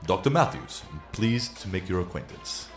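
A man's voice: deep voice, lively intonation, normal speed, soft background music. A man playing a suave, mysterious painter in a radio play, speaking with formal, gallant courtesy. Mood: suave, courteous.

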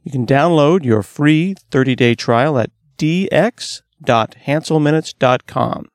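A man's voice: fairly high pitch, measured pace, no echo, studio quality. A man hosting an interview podcast, talking in a conversational presenter's way. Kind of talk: conversational tech interview with sponsor read.

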